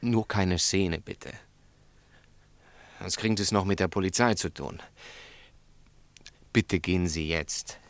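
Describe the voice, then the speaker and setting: An actor playing a stubborn, upright snack-bar owner in a German radio drama, speaking in a firm, curt, defensive way. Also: male voice, low-pitched, takes it slowly, pauses often, dry recording. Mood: uneasy, curt.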